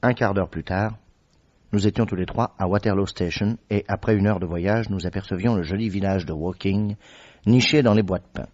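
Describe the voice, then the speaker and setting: Deep guy narrating an old radio play, steady, muffled sound. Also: normal speed. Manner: descriptive and steady. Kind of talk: vintage radio drama narration. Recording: dull old radio recording.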